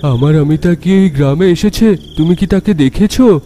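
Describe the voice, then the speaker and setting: A man's voice: fairly high pitch, normal speed, mellow, slight background noise, lightly acted. A man voicing an earnest, determined young man in a cartoon. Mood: earnest, puzzled. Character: determined young man searching for his beloved. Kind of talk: cartoon voice acting.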